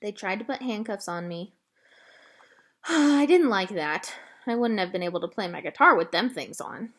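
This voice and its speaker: A shy, lonely pet-store clerk in a storybook, acted out by a woman. He is a gentle man who talks in a halting, plain, folksy way, with a lively range of pitch. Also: heavily acted. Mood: subdued, confessing.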